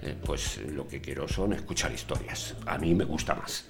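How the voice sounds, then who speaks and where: Older man with a very low voice. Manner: unhurried, hesitant with many fillers. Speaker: interviewee, community radio veteran. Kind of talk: radio interview answers.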